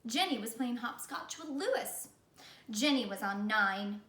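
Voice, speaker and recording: A woman reading a children's story aloud in the animated style of a teacher. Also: a little high, a little slow, distant mic. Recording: echoey room.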